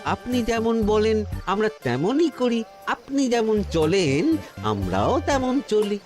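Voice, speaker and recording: Servile cartoon guard, extremely high-pitched, slow, singsong, simple-minded. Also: male voice, big pitch swings, over music, exaggerated voice. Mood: servile, droll. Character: simple, servile, rhyming male guard and crier. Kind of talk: Bengali comic cartoon acting.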